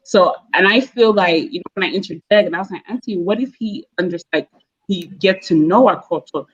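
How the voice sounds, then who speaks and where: A woman's voice: on the low side, brisk, husky. A young woman with a Nigerian-American accent, talking casually as a panel guest, rambling with many fillers and restarts. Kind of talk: casual discussion. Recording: dull lo-fi sound.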